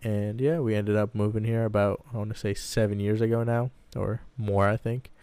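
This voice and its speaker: Smooth, low-voiced young man chatting casually. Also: level tone, moderate pace, warm tone, no room sound. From Baghdad, Iraq. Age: 20s. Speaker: podcast co-host. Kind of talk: casual personal storytelling.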